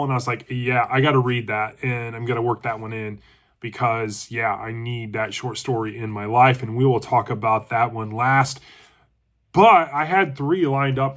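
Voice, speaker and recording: A congested man hosting his own channel, giving a chatty, relaxed book review and thinking aloud as he goes. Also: medium pitch. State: congested from allergies. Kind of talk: casual book review talk.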